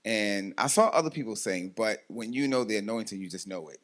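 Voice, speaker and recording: A man's voice: fairly low pitch, expressive, fairly quick, a bit bright. Host, man, 40s, loose rambling talk with frequent laughs. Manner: rambling, many ums, laughs often. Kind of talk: casual chat interview.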